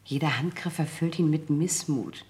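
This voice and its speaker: An actress playing a fretful, prim older sister in a genteel household in a radio drama. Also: female voice, sits low, normal speed, darkish tone, slight background noise, natural voice. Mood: concerned, disapproving.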